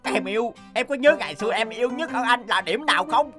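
A woman's voice: fairly high pitch, expressive, fast talker, fairly bright tone, faint music behind. A woman voicing a smug young boyfriend in a comedy cartoon, sweet-talking in an earnest way. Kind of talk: animated comedy cartoon dub.

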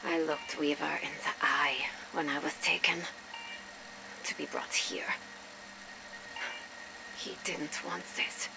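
A woman's voice: low voice, slow pace, vocal fry, long pauses, heavy background noise, heavily acted. An actress playing a defiant, weary young woman held captive in a video game, guarded in the way she talks. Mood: guarded sadness. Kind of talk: dramatic video game voice acting.

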